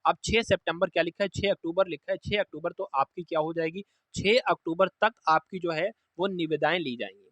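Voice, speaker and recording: A man's voice: fairly high pitch, brisk, hardly any pauses, fairly bright tone, dry recording, low-quality recording. A man giving an informal, instructive exam update to students, repeating key points for emphasis. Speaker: exam coaching presenter.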